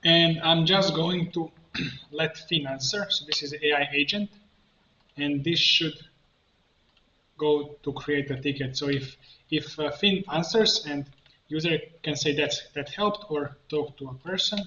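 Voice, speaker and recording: A man with a fairly high, bright voice talks through a software tutorial step by step. His delivery is slow and halting, as if thinking aloud while he clicks. The recording is muffled and lo-fi. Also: fairly even intonation. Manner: halting, instructional, narrating each click. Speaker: coach giving a software tutorial. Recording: muffled lo-fi, roomy echo, background noise.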